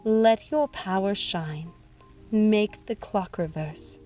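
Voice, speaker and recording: A woman voicing a grave, weary young witch in a storybook, gentle but resolute, with measured, somber delivery. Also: medium pitch, dull audio, soft background music, natural voice. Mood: solemn incantation.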